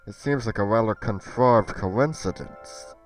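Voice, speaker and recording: A man playing a troubled, earnest adventurer hero in a game roleplay drama, musing aloud in a halting, theatrical way. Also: on the low side, faint music behind, poor audio. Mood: brooding, distressed. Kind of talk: dramatic Minecraft roleplay acting.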